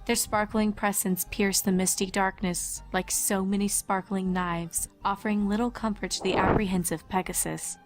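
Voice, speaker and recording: A woman's voice: mid-range voice, fairly even intonation, normal speed, hardly any pauses, faint music behind, poor audio. A woman reading scripted storybook narration as the narrator of a tale.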